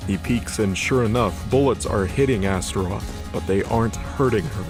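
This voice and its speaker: A man's voice: lowish voice, normal speed, music in the background. A man reading scripted, dramatic narration as the narrator of a fantasy audio drama.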